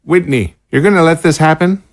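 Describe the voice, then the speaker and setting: A man's voice: on the high side, fairly quick, lightly acted. A man acting in an audio drama as a principled, stubborn research scientist who sputters in formal, incredulous protest. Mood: betrayed, disbelieving.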